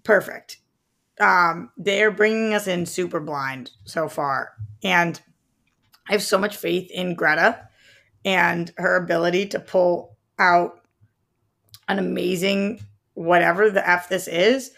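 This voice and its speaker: A woman's voice: on the low side, takes it slowly, gravelly, fairly bright tone. A woman co-hosting a podcast, chatting casually about films with an emphatic, enthusiastic fan's way of talking. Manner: emphatic, enthusiastic fan talk. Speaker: podcast co-host. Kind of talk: casual film discussion chat.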